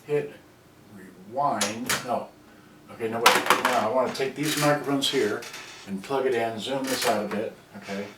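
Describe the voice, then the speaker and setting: A man with a mid-pitched voice gives an off-the-cuff demonstration of a device. He talks in a halting, scattered way, often muttering to himself. The recording is very noisy, with clicks throughout. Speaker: seller demonstrating vintage cassette radio. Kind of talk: casual unscripted product demonstration. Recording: very noisy home video with clicks.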